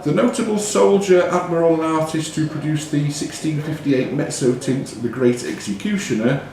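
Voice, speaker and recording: A man's voice: fairly high pitch, moderate pace, noisy background. A man acting as quiz master, reading questions aloud and ruling on answers. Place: quiz tournament in a pub. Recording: very echoey room with pub crowd.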